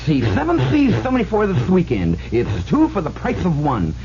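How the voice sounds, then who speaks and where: Bumbling male ad announcer: eager, highish, wildly animated; hissy, dull radio sound. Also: moderate pace. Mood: eager, muddled. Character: earnest, muddled announcer fumbling a commercial take. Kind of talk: comic radio ad sketch. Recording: noisy, dull radio recording.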